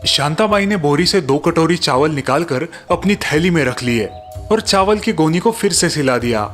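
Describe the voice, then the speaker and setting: Higher-voiced man narrating a cartoon story. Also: lively intonation, normal speed, fairly bright tone, soft background music.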